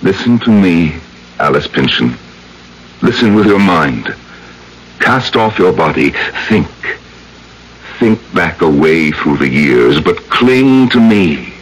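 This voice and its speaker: Radio villain: vengeful hypnotist from the grave, theatrical and swooping, drawn-out menacing delivery. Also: male voice, low-pitched, very animated, heavily acted, low-quality recording. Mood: coaxing, commanding. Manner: slow, theatrical, menacing, savoring words. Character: sinister vengeful male mesmerist, an undead narrator from the grave. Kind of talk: old-time radio drama acting. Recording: old radio broadcast with music underneath.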